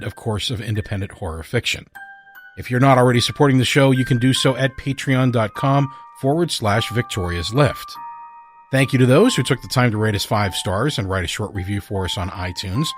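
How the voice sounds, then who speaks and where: A man hosting a fiction podcast, reading a scripted show introduction. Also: medium pitch. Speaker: podcast host and writer. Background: music.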